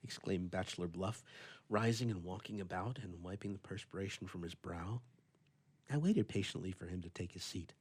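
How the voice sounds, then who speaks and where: A man reading a literary story aloud in a theatrical, expressive way, as for a radio theatre. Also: deep voice, normal speed, slightly rough. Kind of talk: dramatic literary read-aloud narration.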